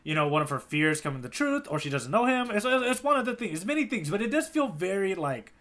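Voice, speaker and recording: A man co-hosting a podcast in casual chat, playful and punning, quick to laugh and agree. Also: high voice, very animated, a bit bright. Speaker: podcast co-host. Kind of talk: casual chat about a manga chapter.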